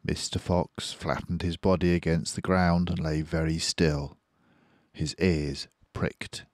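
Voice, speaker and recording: Very deep, slow older male storyteller. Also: fairly even intonation, no echo, clean studio sound. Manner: measured, careful, suspenseful. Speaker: storybook reader. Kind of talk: expressive children's story narration.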